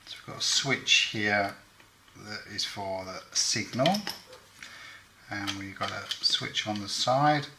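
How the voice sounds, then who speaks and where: A man's voice: on the low side, slow pace, heavy background noise. A man giving hands-on repair commentary as host, thinking aloud in short, matter-of-fact phrases.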